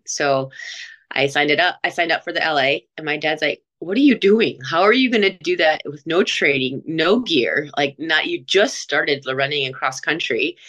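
Los Angeles'lı, otuzlarının sonunda bir kadın; oldukça kalın, alçak bir sesle, podcast konuğu olarak hikayesini anlatıyor. Heyecanlandığı yerlerde sesi yükseliyor, sık sık cümlelerine yeniden başlıyor ve o anki düşüncelerini tırnak içindeymiş gibi aktarıyor. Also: female voice, low-pitched. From Los Angeles, USA. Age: late 30s. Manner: animated, rising to excited peaks when recalling; frequent restarts and quoted inner speech. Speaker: podcast guest, marathon runner. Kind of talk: conversational storytelling interview.

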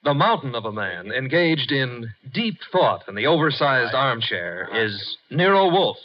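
A man's voice: mid-range voice, very animated, a little slow. An American man announcing and narrating in a 1950s network radio style. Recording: dull vintage radio broadcast recording.

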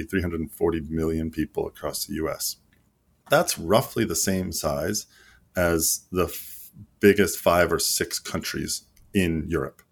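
A man's voice: low-pitched, creaky. A man answering interview questions as a league marketing executive, earnest and explanatory with frequent fillers and restarts. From United States.

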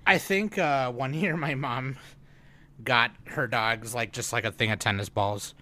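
A man's voice: medium pitch, a little slow, bright, forceful tone. A man co-hosting a podcast, chatting casually and rambling with lots of fillers and restarts. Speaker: podcast co-host. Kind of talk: casual chat.

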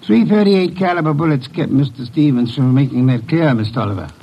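An actor playing a shrewd, heavyset, imperious private detective with formal, precise, dryly pompous diction in a classic radio drama. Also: male voice, medium pitch, brisk, breathy edge, highs cut off. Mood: cool, interrogating.

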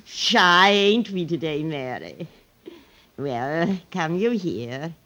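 An actress playing a hard, mercenary orphanage mistress in an old radio drama, with a sly, falsely sweet drawl. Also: female voice, deep voice, lively intonation, extremely slow, pushed and bright, exaggerated voice. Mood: coaxing, stern. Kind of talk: 1950s radio drama acting.

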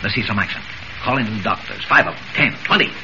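An actor playing a gruff, sputtering middle-aged newspaper editor in a 1940s radio serial, demanding and repeating his words. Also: lowish voice, fast talker, close to an everyday voice. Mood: agitated, demanding. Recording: noisy, muffled vintage radio recording.

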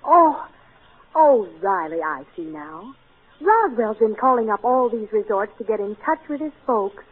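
Husky, animated housewife. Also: female voice, a little high, a little slow. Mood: sympathetic. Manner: expressive, gently sympathetic. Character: caring, tender-hearted American housewife. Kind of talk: old-time radio comedy acting. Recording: tinny vintage radio recording.

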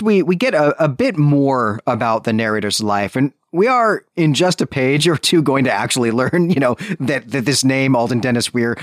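A man's voice: medium pitch, dry recording, clean studio sound. A man co-hosting a literary discussion podcast, explaining in a precise, careful way with small qualifications. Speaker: podcast co-host.

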